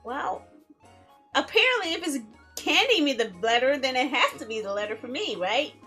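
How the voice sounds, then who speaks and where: A woman game streamer reading a visual novel aloud in a theatrical, expressive way, with playful asides. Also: sits high, normal speed, husky. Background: background music.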